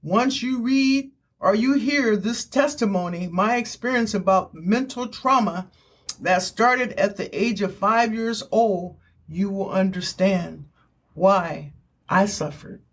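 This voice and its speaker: A woman's voice: medium pitch, takes it slowly. An older woman giving an earnest spoken testimony in short, emphatic, preacherly phrases. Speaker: author giving her testimony.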